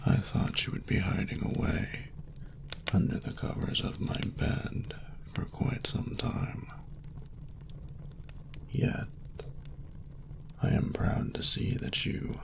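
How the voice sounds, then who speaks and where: A man voicing a suave, doting dragon lover in an intimate romantic audio roleplay, speaking formally and seductively in a breathy, gravelly half-whisper. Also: mid-range voice, heavily acted. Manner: slow, breathy, formal archaic phrasing, seductive.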